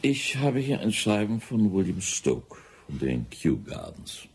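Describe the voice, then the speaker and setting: An actor playing a formal, courteous British colonial official in a German radio drama, with an officious manner. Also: male voice, fairly low pitch, big pitch swings, measured pace, darkish tone, a little hiss or hum. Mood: courteous, businesslike.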